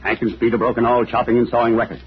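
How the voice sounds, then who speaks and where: Dramatic old-time radio narrator. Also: male voice, mid-range voice, completely flat delivery, very fast, husky. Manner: dramatic, formal. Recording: dull, noisy old radio recording.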